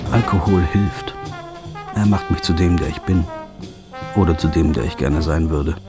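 Deep-voiced, brooding noir narrator man. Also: moderate pace, music in the background. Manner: flat, brooding, understated. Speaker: narrator and lead. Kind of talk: first-person noir narration.

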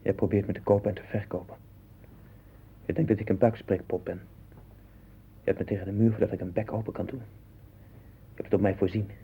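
An actor playing a tormented, paranoid man in a 1960s Dutch radio drama, husky, speaking in obsessive, repeated short phrases. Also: lowish voice, steady tone, fairly quick, pauses often, phone-quality sound. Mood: angry accusing. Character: Len, tormented, paranoid man sinking into dwarf-haunted delusions.

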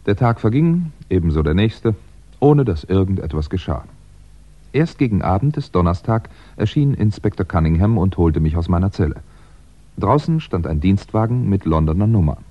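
Wry crime writer, low animated voice. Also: male voice, normal speed. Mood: wry narration. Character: exasperated crime writer narrating his own wrongful arrest. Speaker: actor and narrator in a radio crime play. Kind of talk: scripted first-person narration and radio acting. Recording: dull 1960s radio recording.

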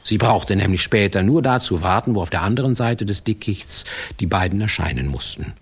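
A man narrating a fairy-tale radio play in a wry, playful storytelling manner with drawn-out emphasis. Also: fairly low pitch, lively intonation, slightly rough.

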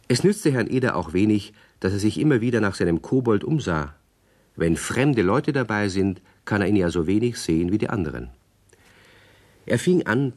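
A man narrating a children's radio play as a storyteller, expressive and with a sense of suspense. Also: sits low.

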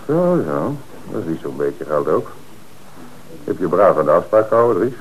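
A police commissioner in an old radio drama, calm and in command. His voice sits on the low side. The recording is dull and slightly hissy. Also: normal speed. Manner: calm, authoritative, explanatory.

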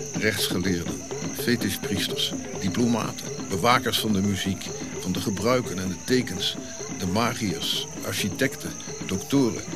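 An actor playing a reflective African prince who recounts his royal youth in measured, eloquent radio drama monologue, pausing as he goes. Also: on the low side, lightly acted. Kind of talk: audio drama narrative monologue.

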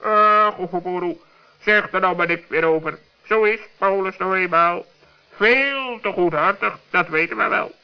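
A man's voice: high voice, unhurried, clean tone. A man voicing a wry, sensible talking animal in a children's radio play. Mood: wry, resigned. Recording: dull old radio recording.

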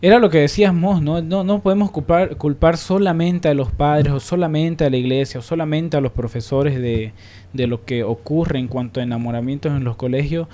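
A man's voice: on the high side, fairly quick, mellow. A man hosting a panel discussion, speaking reflectively with emphatic repetition as he searches for words. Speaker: radio programme host.

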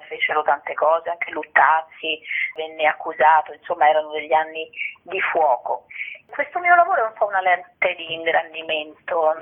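A woman, an actress and playwright, explaining her work reflectively in an interview, searching for words and adding little tag questions. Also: low-pitched. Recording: tinny phone line on radio.

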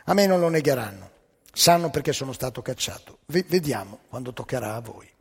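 A man playing a deposed older Soviet leader, calm, composed and dignified in defiance, in a stage monologue. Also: mid-range voice, slightly rough, in a large hall. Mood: resigned, defiant. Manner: quiet, composed, unhurried.

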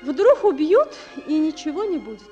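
Girlish high-voiced young nurse, very lively. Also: moderate pace, lo-fi sound, faint music behind. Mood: candid, fearful. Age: young adult. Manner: girlish, artless, confiding. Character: very young, candid, wistful army nurse. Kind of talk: Soviet wartime radio drama acting.

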